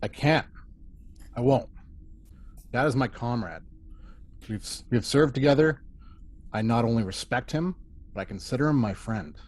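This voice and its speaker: A man's voice: medium pitch, animated, moderate pace, long pauses, darkish tone, close to an everyday voice. A man playing a loyal, earnest starship first officer in a table read, speaking emphatically. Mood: defiant. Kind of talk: amateur table read of a sci-fi script.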